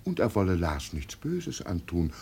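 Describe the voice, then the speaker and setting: Deluded old peasant emperor, wildly swooping. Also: male voice, low-pitched, big pitch swings, normal speed, creaky, dark tone, breathy edge, heavily acted. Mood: solemn, insistent. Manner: solemn, insistent, grandly proclaiming. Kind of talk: 1950s German radio drama acting. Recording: noisy old 1950s radio recording.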